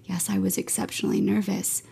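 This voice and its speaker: A woman in her forties hosting a music education podcast, enthusiastic and quick to cheer her guest on over a video call. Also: mid-range voice, flat delivery, gravelly, warm tone, husky. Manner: enthusiastic, effusive, laughs easily. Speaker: podcast host. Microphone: earbuds on a video call.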